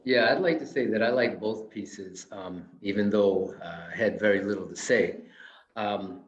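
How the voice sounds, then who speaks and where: Man w lowish voice giving hesitant feedback, echoey room, muffled audio. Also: normal speed. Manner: tentative, roundabout. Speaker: actor. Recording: echoey, dull lo-fi sound.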